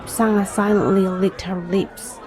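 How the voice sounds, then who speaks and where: A woman's voice: mid-range voice, level tone, unhurried, music in the background, crowd noise, close to an everyday voice. A woman playing an introspective young reader, narrating his story in scripted first-person audio drama style. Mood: reflective.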